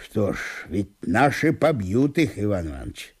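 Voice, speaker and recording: An actor playing a blunt, obstinate provincial landowner, grumbling gruffly and incredulously in a theatrical comic audio monologue. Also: male voice, lowish voice, sing-song, normal speed, darkish tone, voice pushed down.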